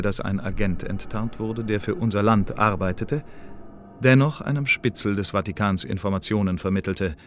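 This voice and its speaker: Actor as low-voiced, pedantic secret service secretary. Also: male voice, dry recording, clean studio sound, natural voice. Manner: measured, fussy, circumlocutory. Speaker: intelligence official giving an assessment. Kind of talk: radio drama monologue, formal bureaucratic report. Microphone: close dry studio microphone.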